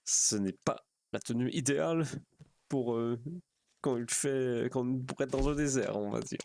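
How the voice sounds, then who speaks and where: A man's voice: mid-range voice, unhurried, creaky, darkish tone, a little hiss or hum. A man playing an earnest, slightly naive young-hearted pastor in a western video game roleplay, speaking in polite, formal phrases. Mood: cheerful, hurried.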